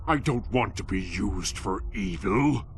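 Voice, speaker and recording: A man voicing a giant, childlike booger creature in a cartoon game, speaking earnestly with dramatic pauses. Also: fairly low pitch, expressive, a little hiss or hum. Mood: woeful. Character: giant sentient booger villain, childlike, woeful, turning good.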